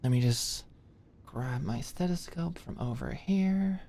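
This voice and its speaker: Woman playing an anxious young med student, low-voiced. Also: expressive, takes it slowly, creaky, full character voice. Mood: careful, nervous. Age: young adult. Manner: nervous, hesitant, stammering, softly attentive. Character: anxious, awkward young female medical student, easily flustered. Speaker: ASMR roleplay performer. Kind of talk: ASMR roleplay, intimate scripted one-sided dialogue. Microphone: close studio-style mic, dry.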